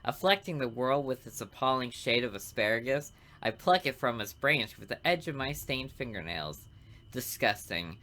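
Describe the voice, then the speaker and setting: A man plays a smug killer who fancies himself an artist, narrating a visual novel. The delivery is deliberate and theatrical, savoring each morbid word in a bright, mid-pitched male voice. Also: expressive.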